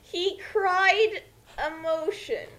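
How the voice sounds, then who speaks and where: A woman's voice: very high voice, steady tone, drawn-out words, a bit bright. A sarcastic woman giving casual comic commentary as a co-host.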